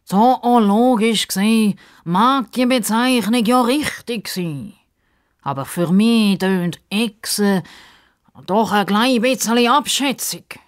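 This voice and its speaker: Woman putting on a pompous, slow-talking male crocodile. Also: a little high, smooth, voice pushed up. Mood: offended, pedantic. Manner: pompous, drawn-out, over-polite formal speech.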